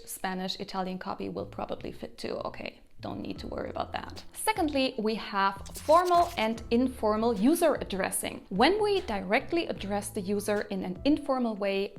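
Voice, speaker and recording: German woman hosting a lively explainer. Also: medium pitch. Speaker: educator and channel host. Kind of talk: casual explainer to camera.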